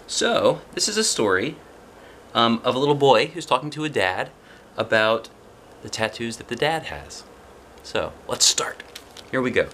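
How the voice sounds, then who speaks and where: A man's voice: medium pitch, a bit bright. A man in his forties hosting a read-aloud storytime, chatting conversationally and putting questions to young listeners. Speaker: host reading a picture book aloud. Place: small indoor room, seated before a plain wall. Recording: clear background room noise.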